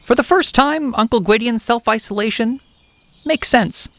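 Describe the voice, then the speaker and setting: A woman's voice: a little high, moderate pace, fairly bright tone, no echo. A woman playing a dry, practical young royal in a comic stage-style audio drama. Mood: practical, brisk.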